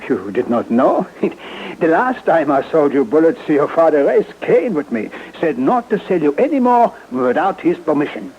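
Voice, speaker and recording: A man's voice: fairly high pitch, very animated, normal speed, hardly any pauses, a little mellow. An actor playing a fussy, obliging foreign-sounding shopkeeper in old radio style, hesitant and repeating his words. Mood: apologetic, puzzled. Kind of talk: old-time radio suspense acting. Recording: muffled, noisy old radio broadcast.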